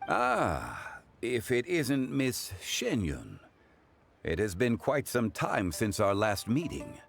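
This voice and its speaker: A man voicing a calm, learned gentleman consultant in a video game, with measured, courteous, eloquent speech. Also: medium pitch, vocal fry, soft background music, full character voice. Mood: courteous, serene.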